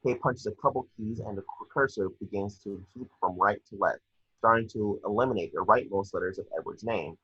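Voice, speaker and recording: A man's voice: on the low side, brisk, a bit bright, husky. A man narrating scripted action descriptions at an amateur table read, on a slightly dull home recording. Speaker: reader of stage directions.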